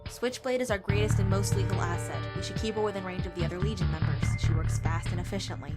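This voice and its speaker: Actress as brisk, calculating female operative. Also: mid-range voice, lightly acted. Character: cool, calculating, tough young female operative. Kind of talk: audio drama acting. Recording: loud music behind.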